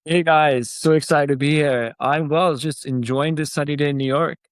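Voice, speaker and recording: A man from Pakistan, a startup founder, talking casually in a remote interview. He speaks loosely with lots of fillers and gets animated when he is excited. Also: fairly high pitch, moderate pace, dry recording, studio quality.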